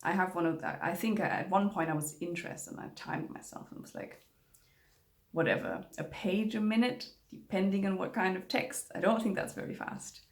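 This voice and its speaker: A woman's voice: mid-range voice, fairly even intonation, moderate pace. A young woman whose first language is German, chatting casually to camera about books, rambling with many fillers and restarts. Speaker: book vlogger. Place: home room with bookshelves. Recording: echoey room.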